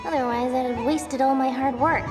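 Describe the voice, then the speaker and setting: A woman voicing an excitable, greedy young merchant in a video game, theatrical and exclamatory, with wheedling sales talk. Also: high voice, moderate pace, close to an everyday voice. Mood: theatrical dismay. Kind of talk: animated video game acting. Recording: loud music behind the voice.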